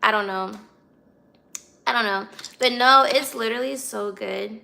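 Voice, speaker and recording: A young American woman hosts a casual livestream in a raspy, slightly hoarse voice. Her pitch sits fairly high, with a bright, forward edge and plenty of crackly fry. She speaks directly to viewers in relaxed slang. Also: a little slow. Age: 20s. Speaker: livestream host.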